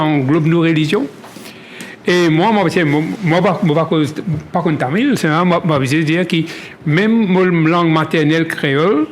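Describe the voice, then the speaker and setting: A man giving a spontaneous radio testimony about his community's language, halting and repeating words as he speaks. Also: a little high, slight background noise. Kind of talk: spontaneous testimony in creole-tinged French.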